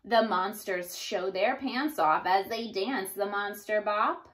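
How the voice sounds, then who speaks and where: A woman in her thirties giving an expressive storybook read-aloud for young children, like a teacher addressing her class. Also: medium pitch, takes it slowly. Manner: animated, addressing young children, sounding out rhymes. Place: small indoor room.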